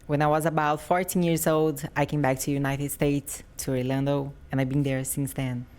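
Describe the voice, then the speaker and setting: A woman's voice: sits low, little pitch movement, normal speed, a little mellow. A woman in her twenties with a Brazilian Portuguese accent, a native Portuguese speaker who grew up in Brazil, chatting as an interview guest, friendly and giggly, sometimes searching for words. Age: 20s. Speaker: guest athlete. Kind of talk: casual interview.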